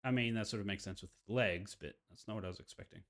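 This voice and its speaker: A man's voice: lowish voice, fast, a bit bright. A man giving casual commentary on a video game playthrough, reacting and musing aloud as he reads. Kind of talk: game playthrough commentary, reading visual novel dialogue aloud.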